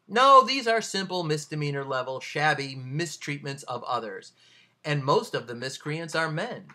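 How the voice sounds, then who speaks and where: Dry, bright-voiced man talking haltingly. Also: fairly high pitch. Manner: dry, self-deprecating, deadpan humour. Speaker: author reading a bad review of their own book. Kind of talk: casual video monologue with read-out excerpts.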